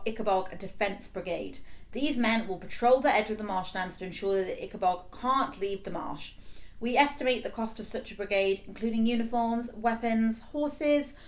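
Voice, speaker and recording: A woman voicing a scheming, smooth-talking royal adviser in a storybook, brisk, persuasive and deferential. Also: medium pitch, voice pushed up, close to an everyday voice.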